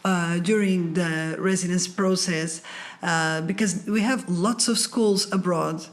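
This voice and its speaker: Woman consultant explaining visas, calm, reassuring, some uhs. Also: lowish voice, slow. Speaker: immigration consultant. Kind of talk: video call interview.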